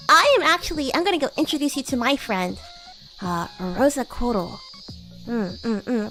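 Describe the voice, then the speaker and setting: Woman acting a high-pitched bratty demon girl. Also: fairly bright tone, rough recording. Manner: teasing, haughty, halting and flustered. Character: bratty flustered teenage demon girl class president, secretly fond. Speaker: VTuber streamer. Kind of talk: livestream roleplay, anime-like character acting.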